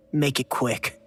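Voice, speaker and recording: An actor playing a bitter, defensive teenage fighter in a video game, curt and confrontational. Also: male voice, fairly bright tone. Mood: sullen, bitter. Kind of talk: video game cutscene acting.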